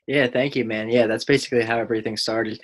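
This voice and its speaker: A man's voice: medium pitch, fairly even intonation, fast. A man from the United States, a guest in a casual podcast interview, easygoing and talkative.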